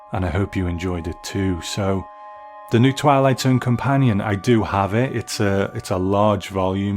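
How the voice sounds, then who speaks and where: A man's voice: on the low side, darkish tone. A man hosting a podcast, giving casual solo commentary. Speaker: podcast host.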